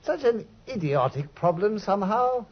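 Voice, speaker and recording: A man's voice: sits high, big pitch swings. An actor playing an earnest, loyal male doctor in a vintage radio drama, speaking eagerly and also narrating. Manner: eager, earnest, quick to exclaim. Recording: dull, husky old radio recording.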